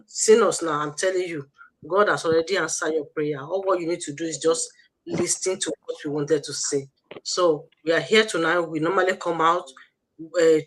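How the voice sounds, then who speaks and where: A Nigerian woman hosting an online livestream, giving a motivational pitch with emphatic, repetitive, preacher-like exhortation. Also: a bit bright, low-quality recording. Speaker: host of an online business promotion livestream.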